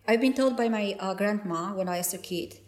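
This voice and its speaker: A woman's voice: medium pitch, brisk, a little mellow. A woman with a Polish accent, a knitting designer and teacher, speaking hesitantly with many fillers in an interview. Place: yarn festival venue. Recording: echoey room.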